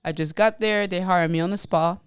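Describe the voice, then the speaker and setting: A woman from Congo who grew up in France, speaking with a French accent as a podcast co-host, telling her story in a lively way, laughing and acting out what people said. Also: fairly low pitch, normal speed, smooth, no room sound. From Congo, raised in France. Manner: lively, laughs often, acts out quotes. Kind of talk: casual interview storytelling.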